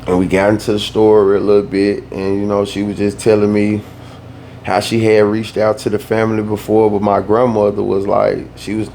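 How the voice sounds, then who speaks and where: A young man from the Southern US telling a family story in a laid-back, slangy way that turns animated when he gets worked up. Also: lowish voice, moderate pace, creaky, a little hiss or hum. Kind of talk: casual storytime.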